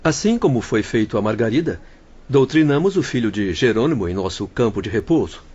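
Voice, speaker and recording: A calm husky spirit counselor. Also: male voice, fairly low pitch. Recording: dull lo-fi audio.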